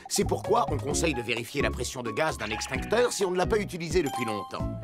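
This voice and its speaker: A man voicing a calm, instructive fire truck rescue robot in a children's cartoon, explaining things patiently. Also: mid-range voice, quick pace, loud music behind the voice, lightly acted. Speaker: safety instructor character.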